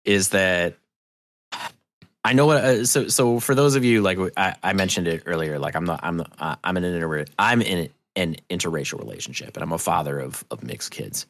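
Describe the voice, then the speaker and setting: Middle-aged man, low creaky voice, dry close sound, halting thoughtful podcast guest, from New England. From New England, United States. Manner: halting, thoughtful, self-correcting. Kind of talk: casual interview conversation.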